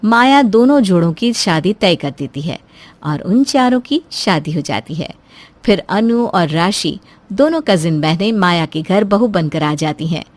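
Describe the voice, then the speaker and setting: A woman's voice: on the low side, lively intonation, measured pace. A woman narrating a scripted family moral story as its storyteller.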